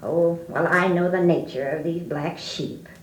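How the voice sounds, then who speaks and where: An older woman playing a gruff, skeptical farm granny with an American accent, dry and grudging, in an old radio drama. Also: fairly low pitch, steady tone, measured pace, natural voice. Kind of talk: 1940s radio-drama acting. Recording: echoey, slightly distant, noisy old record.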